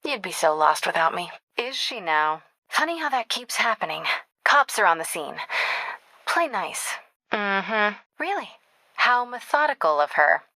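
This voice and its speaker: An actress with an American accent playing a brisk, teasing young newspaper reporter in a video game. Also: female voice, medium pitch, big pitch swings, bright, forceful tone, no echo, full character voice. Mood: casual, teasing. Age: young adult. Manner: casual, quick-witted phone chatter.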